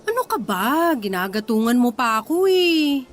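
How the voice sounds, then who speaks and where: A pregnant Filipina wife in a radio drama, suspicious but downplaying it. Her voice is a little high and she speaks casually, with emotion close to the surface. There is light ambient noise behind her. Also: big pitch swings, normal speed. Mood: protesting. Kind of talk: Tagalog radio drama acting.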